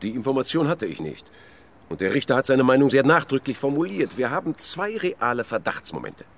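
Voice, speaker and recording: An actor playing an officious, pointed police officer in a radio crime drama, formal and emphatic. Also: male voice, medium pitch, very animated, a little fast.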